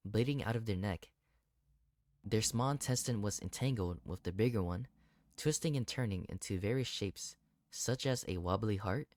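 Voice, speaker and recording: A man's voice: lowish voice, a little mellow, no echo, clean studio sound. A man hosting a gaming video, reading visual novel narration aloud and reacting to it expressively.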